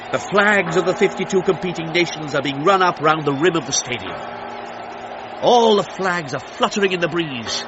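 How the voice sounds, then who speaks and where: A man's voice: fairly high pitch, background noise, lightly acted. An actor playing a stately, dramatic male commentator in the style of a 1930s radio broadcast, full of reverence. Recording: dull band-limited sound with music behind.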